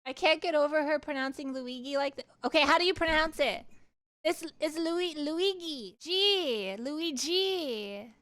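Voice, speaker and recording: A woman livestreamer casually chatting with her viewers and telling personal stories in an animated, candid, giggly way, swearing freely. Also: sits high, a little slow. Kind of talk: casual chat and personal storytelling.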